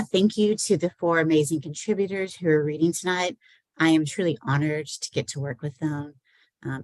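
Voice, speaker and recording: A woman's voice: low voice, no room sound. A woman poet and editor speaking in a casual, grateful, chatty way as she introduces a reading on a video call. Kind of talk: casual, conversational talk.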